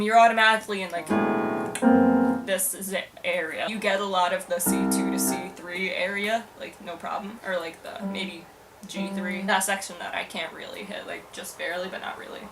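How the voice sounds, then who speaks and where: A woman's voice: medium pitch, steady tone, normal speed, recorded from a distance, loud music behind the voice, rough recording. A young woman talking casually and confessionally to camera, in a hesitant, self-deprecating, informal way. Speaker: vlogger.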